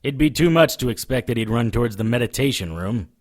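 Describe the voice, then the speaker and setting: A man performs a wisecracking supernatural private eye for an audio drama. His pitch swings freely through dry, clipped remarks. He sounds world-weary and sardonic throughout. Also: lively intonation, fast talker, natural voice.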